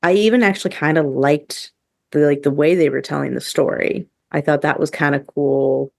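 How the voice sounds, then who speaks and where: A woman's voice: low-pitched, fairly even intonation, normal speed, vocal fry, no room sound, clean studio sound. A woman co-hosting a podcast in a casual, chatty and enthusiastic way, peppering her talk with "like". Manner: chatty, enthusiastic, heavy use of "like". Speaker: podcast co-host. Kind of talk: casual podcast review chat.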